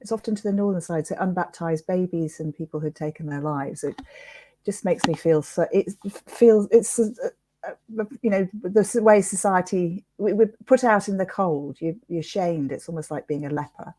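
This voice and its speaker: A woman's voice: on the low side, moderate pace, warm tone, no echo. A British woman talking in a conversational interview, earnest and informal in her manner. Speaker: interviewer and suicide prevention campaigner.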